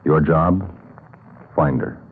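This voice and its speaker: A man's voice: a bass voice, takes it slowly, slight background noise. A man announcing in an emphatic, formal 1950s radio style. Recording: tinny old radio recording, faint music.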